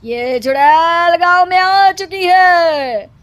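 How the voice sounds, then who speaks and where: Woman playing a spooky village baba in a cartoon, high voice, dramatic, always warning and accusing. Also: animated, slow pace, fairly bright tone, exaggerated voice. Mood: alarmed, accusing. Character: ominous male village holy man warning of witches.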